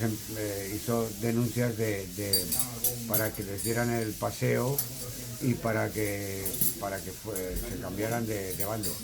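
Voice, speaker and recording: Low-voiced man, halting, amid chatter. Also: poor audio. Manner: halting, repetitive, searching for words. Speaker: interviewee giving family testimony. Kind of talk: spontaneous oral testimony.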